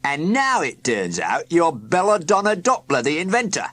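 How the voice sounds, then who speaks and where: A reader voicing a stern, officious school inspector. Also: male voice, on the high side, huge pitch swings, normal speed, fairly bright tone, pitched above the performer's own voice, heavily acted.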